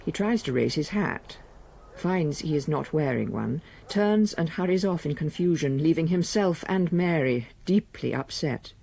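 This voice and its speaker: A man giving measured, scripted literary narration as storyteller of a period radio drama. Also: on the high side, animated, vocal fry, a little mellow, a little hiss or hum. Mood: measured, evocative.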